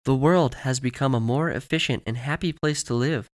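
A man voicing a polished, upbeat woman presenting a corporate promotional announcement in a measured way. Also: medium pitch, no room sound, clean studio sound, natural voice. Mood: upbeat, soothing.

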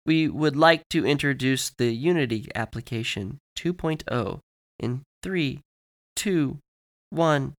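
A polished corporate spokeswoman, acted out by a male narrator, upbeat and measured. The voice sits a little high and delivers each line like a promotional announcement. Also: no room sound, clean studio sound. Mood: upbeat, soothing.

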